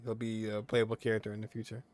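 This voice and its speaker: A man's voice: lowish voice, monotone, fast, a little mellow. A man giving casual commentary as he plays through a game. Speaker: gaming streamer.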